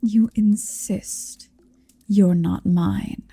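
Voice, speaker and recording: A woman's voice: mid-range voice, steady tone, drawn-out words, dark tone, slightly rough, no room sound, exaggerated voice. A woman playing a huge, possessive, motherly female dragon in an ASMR roleplay, tenderly domineering and teasing, often whispering close to the mic. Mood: smug, tender. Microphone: close-up microphone, dry.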